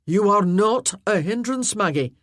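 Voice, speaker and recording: A woman voicing a stern, protective male Highland laird who gives commands and threats in a storybook reading. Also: lively intonation, a little slow, no echo, studio quality, lightly acted.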